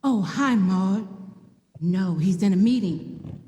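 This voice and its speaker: A woman's voice: mid-range voice, animated, slow pace, mellow. A woman playing a chatty, wry, gossiping office secretary in a live radio play. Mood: gossipy, wry. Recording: very echoey hall, audible background hiss.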